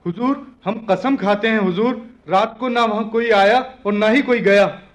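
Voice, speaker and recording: A man's voice: high voice, unhurried. A man playing a frightened, deferential palace servant in a radio play, pleading as he talks. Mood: alarmed. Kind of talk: Hindi radio play acting.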